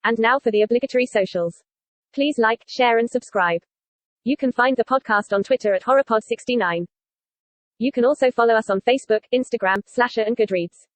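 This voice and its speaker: A woman reading the scripted outro of a podcast in a brisk manner. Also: a little high. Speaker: announcer.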